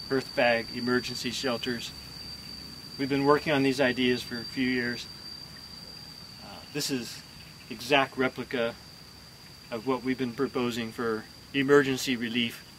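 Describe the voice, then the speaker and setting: An older man talks through his rural homestead outdoors, with a plain, practical manner and an even, mid-range voice. The camera sits a few meters off, and insects and rural sounds come through clearly behind him. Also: mid-range voice, background noise. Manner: plain, practical, explains step by step. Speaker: homesteader giving a property tour. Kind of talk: casual walking tour explanation. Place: outdoors on a rural homestead with rice fields around.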